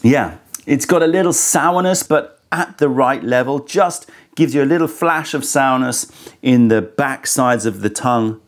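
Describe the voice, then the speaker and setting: A man's voice: medium pitch, a little slow, slight background noise. A man in his thirties hosting a casual tea tasting review, thoughtful and candid, a bit critical, speaking into a lapel mic in an indoor studio. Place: indoor studio set with sofa.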